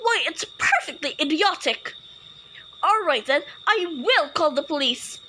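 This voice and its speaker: An actress in a radio play, playing an anxious, demanding invalid wife who is easily exasperated and insistent. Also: female voice, sits high, animated, measured pace, background noise. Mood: indignant, exasperated. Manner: agitated, insistent, indignant. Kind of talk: old-time radio play acting.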